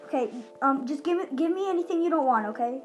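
A woman's voice: high-pitched, expressive, fast, lo-fi sound, over music. A woman giving casual, playful, chatty commentary during a video game roleplay. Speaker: gaming video host.

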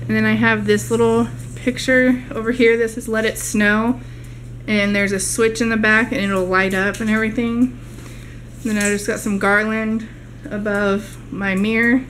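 A woman's voice: on the high side, flat delivery, normal speed, a bit bright, slight background noise. A young woman chatting casually at home as she shows off her grocery haul to a handheld camera. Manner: chatty, rambling show-and-tell. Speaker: vlogger. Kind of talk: casual haul video narration. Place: home living room.

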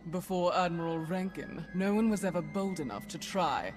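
A solemn female dark lord acted by a woman speaks gravely and formally in a game cutscene. Long reverb trails her, with music behind. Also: on the low side, animated, normal speed, close to an everyday voice. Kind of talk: video game cutscene acting.

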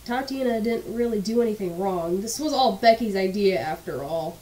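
A woman's voice: mid-range voice, animated, normal speed, warm tone, slight background noise, poor audio. A young woman with a slightly stuffy, congested voice, casually narrating a personal story with blunt, candid remarks and casual swearing.

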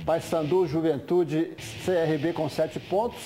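High-pitched Brazilian male sports host. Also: steady tone, unhurried, mellow, soft background music. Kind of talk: lively sports studio presenting. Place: TV studio.

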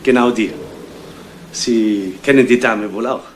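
A man's voice: lowish voice, a little slow, lightly acted. Male actor, nosy joking sidekick, southern German accent, 1970s radio crime drama, rambling, roomy sound, distant from mic. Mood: jocular, confiding. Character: chatty, nosy male investigator's sidekick, folksy joker. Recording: echoey room, off-mic, faint noise.

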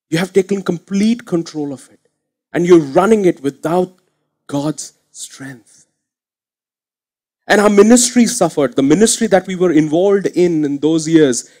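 Man, thirties, pastor, sermon, slightly high voice, headset mic, church stage. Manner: earnest preaching, swings from quiet to emphatic. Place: church stage with curtain backdrop.

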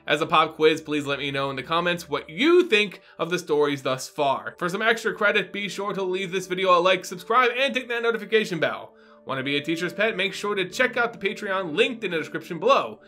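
A man in his thirties narrates fantasy lore like an emphatic storyteller. His voice sits a little high and is bright and punchy, with very animated intonation. He speaks as a video host in a home room. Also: fairly quick. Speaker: YouTube host. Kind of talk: dramatic lore recap narration to camera. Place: small home room, indoors. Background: background music.

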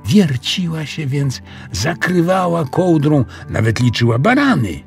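A man's voice: fairly high pitch, very animated, measured pace, gravelly. A man narrating a scripted children's story as a storyteller. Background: music.

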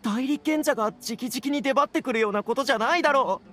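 A man's voice: very high-pitched, brisk, bright, forceful tone, faint music behind. A man playing a nervous, flustered scholar in an anime game, with a pleading manner. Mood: anxious, defensive. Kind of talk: Japanese anime game voice acting.

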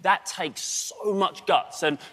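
Earnest, high-pitched male judge. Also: slow. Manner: earnest, emphatic. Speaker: skating competition judge. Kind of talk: live praise to a contestant. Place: large TV ice-show studio. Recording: noisy studio with loud crowd. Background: loud audience.

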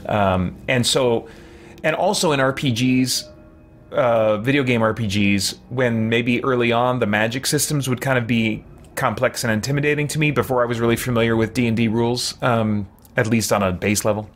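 A man's voice: mid-range voice, normal speed. Reflective man streaming over game noise. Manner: reflective, rambling. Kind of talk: casual gameplay commentary. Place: small room with shelves, indoors. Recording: game ambience as clear background noise.

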